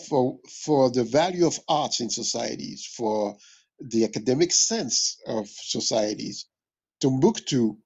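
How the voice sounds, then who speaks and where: Husky, deliberate man from West Africa. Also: medium pitch, a little mellow, lo-fi sound. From West Africa (Mali). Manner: deliberate, scholarly, repeats phrases for emphasis. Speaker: interviewed jazz musician and music history teacher. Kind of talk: reflective interview talk, explanatory.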